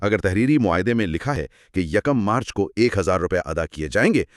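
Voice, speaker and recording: A man co-hosting a scripted explanatory discussion, speaking in a measured, emphatic, didactic way. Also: on the low side, expressive, no echo, studio quality. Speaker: co-host explaining law.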